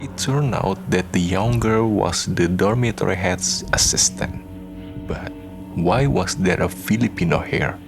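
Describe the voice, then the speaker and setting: A man narrating a scripted radio drama as a solemn, measured storyteller. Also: sits low, gravelly, music in the background.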